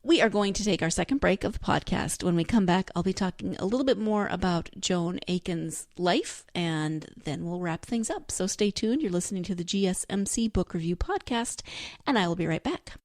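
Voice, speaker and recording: Lowish-voiced woman podcaster, dry close sound. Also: lively intonation, moderate pace, a little mellow, dry recording. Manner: chatty, rambling, thinking aloud with self-corrections. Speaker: podcast host. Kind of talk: casual solo book chat, loosely summarizing notes.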